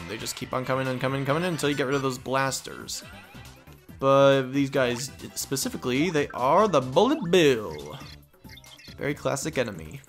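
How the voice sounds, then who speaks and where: A man's voice: medium pitch, a bit bright. A man hosting a let's play with casual, goofy, rambling gameplay commentary. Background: video game music.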